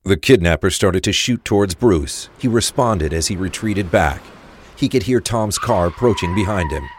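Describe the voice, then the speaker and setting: A man's voice: low-pitched, animated, normal speed, slightly rough. A man reading scripted narration for an audio drama. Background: music.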